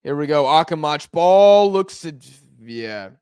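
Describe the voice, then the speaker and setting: A man hosting a live football watch-along, reacting excitedly to every chance and shouting at near misses, his voice going hoarse. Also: on the high side, slow, no echo. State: hoarse, losing voice.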